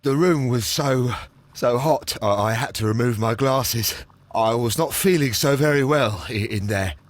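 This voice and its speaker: A haunted, anxious male councillor, played by an actor in a video game. His medium-pitched voice trips over words in a heavy stammer, hesitant and full of apology. The sound is dry and studio-clean. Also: unhurried, dry recording, natural voice. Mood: queasy, distressed. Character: nervous, haunted, guilt-ridden male councillor and archaeology enthusiast. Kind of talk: scripted video game acting.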